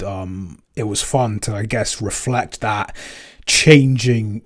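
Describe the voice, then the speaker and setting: A man co-hosting a casual Q&A as a composer, explaining things in a thoughtful, rambling way and often correcting himself. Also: fairly low pitch. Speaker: podcast co-host and soundtrack composer. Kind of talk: casual Q&A chat.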